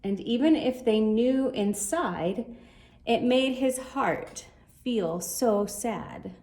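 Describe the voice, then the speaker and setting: Woman, higher-pitched voice, unhurried pace, expressive children's storybook narration. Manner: measured, expressive read-aloud for children. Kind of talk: scripted storybook narration in rhyme.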